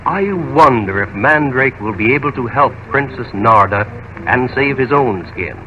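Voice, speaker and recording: A male radio announcer wrapping up a drama episode in formal, measured tones. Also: mid-range voice, lively intonation. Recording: dull old radio recording over music.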